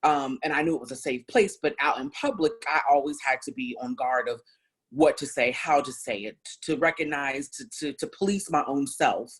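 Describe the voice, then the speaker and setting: Woman, 30s, from Ohio, deep husky voice, earnest reflective panelist telling her own story. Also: normal speed. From Columbus, Ohio, US. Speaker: panel speaker. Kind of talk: personal testimony in a panel talk.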